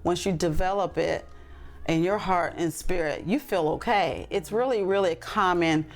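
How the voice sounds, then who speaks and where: A woman's voice: lowish voice, moderate pace. A woman talking earnestly and reflectively as a podcast guest, with frequent ums and restarts. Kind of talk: casual interview talk.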